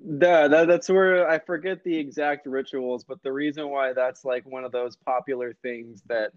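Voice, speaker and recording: A relaxed man talking casually in loose, slangy phrasing. His throat sounds a bit phlegmy, and the audio is dull and dry with no room sound. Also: medium pitch, moderate pace, dry recording. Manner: laid-back, loose slangy talk. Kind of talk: casual chat.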